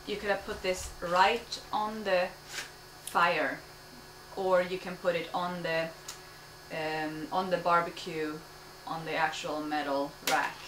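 A woman in her thirties from Sweden hosting a home cooking show, calmly explaining each step as she cooks in her kitchen. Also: on the low side. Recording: echoey kitchen, distant mic, noisy.

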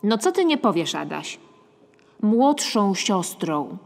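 An actress playing a bossy, secretive imaginary little sister in a radio play, childlike and insistent. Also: female voice, mid-range voice, very animated, slow, vocal fry, a little mellow, exaggerated voice. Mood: defiant.

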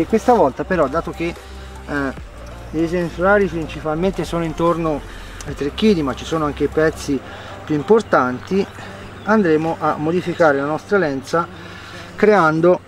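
A middle-aged man hosting a fishing vlog by a lake, explaining his rig step by step in a lively, chatty way. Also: a little high, distant mic. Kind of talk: outdoor fishing tutorial and chat. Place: outdoors by a lake. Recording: background music under the voice.

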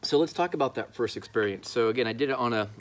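A man's voice: mid-range voice, fast talker, poor audio. A man in his thirties talking casually to camera, explaining his hobby as a video host outdoors. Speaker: YouTube host, amateur radio hobbyist. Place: outdoors in a backyard.

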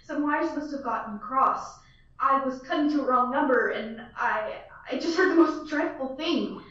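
Girl playing a panicky high-voiced invalid. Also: normal speed, fairly bright tone. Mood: anxious. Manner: anxious, pleading, fretful. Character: nervous invalid woman, frightened caller. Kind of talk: student radio play acting. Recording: distant echoey lo-fi recording.

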